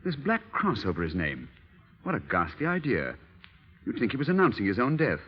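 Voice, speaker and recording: An actor playing an adoring, condescending husband in theatrical 1930s radio style, on a muffled old recording. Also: male voice, mid-range voice, wildly swooping pitch, normal speed, husky. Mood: puzzled, uneasy. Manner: patronizing, ardent, theatrical. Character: adoring, condescending middle-class husband in a period drama.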